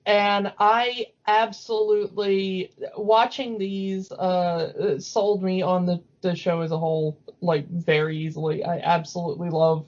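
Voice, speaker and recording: A woman's voice: lowish voice, flat delivery, a little slow, hardly any pauses, a little mellow. A woman co-hosting a podcast, chatting casually about cartoons, recorded in dull lo-fi audio. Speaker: podcast co-host.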